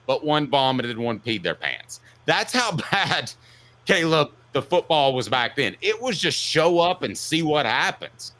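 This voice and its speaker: A man's voice: a little high, very animated, unhurried, a bit bright. A man in his fifties hosting an opinionated sports talk show, telling stories casually in a jokey, mock-indignant way. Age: 50s.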